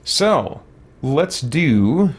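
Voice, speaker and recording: A man hosting a let's-play, reading game text aloud and commenting casually as he thinks out loud. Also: a little high, very animated, extremely slow, slightly rough. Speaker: gaming let's-play host.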